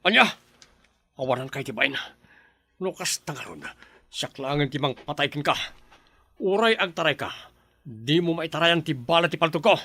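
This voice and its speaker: A man's voice: a little high, lively intonation, moderate pace, pauses often, bright, forceful tone, exaggerated voice. An actor playing a ruthless, defiant male gang boss in an Ilocano radio drama, barking orders and threats. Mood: threatening.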